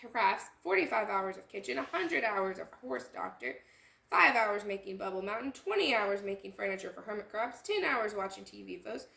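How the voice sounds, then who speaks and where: A woman reads a children's storybook aloud in an echoey room. Her voice is bright and fairly high, with very lively swings in pitch. She acts out the text and pauses to point out details as a storytime host. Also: vocal fry. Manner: animated, acting out lines and pointing out details. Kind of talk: expressive picture-book read-aloud with explanatory asides.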